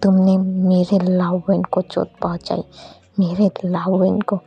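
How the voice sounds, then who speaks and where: A woman's voice: lowish voice, completely flat delivery, unhurried, gravelly, breathy edge, highs cut off, full character voice. A woman voicing an anguished, protective male ghost lover in a told story, emotional and repeating phrases. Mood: vengeful rage. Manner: pleading, repetitive, emotional.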